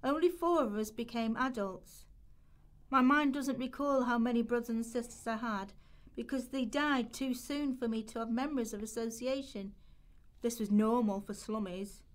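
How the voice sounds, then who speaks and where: A woman's voice: on the high side, normal speed. A woman reading scripted lines aloud in a rehearsal for a radio play.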